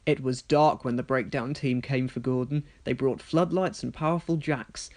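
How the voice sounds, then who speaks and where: A man's voice: medium pitch, steady tone, moderate pace, darkish tone. A man reading a scripted children's story as the storyteller.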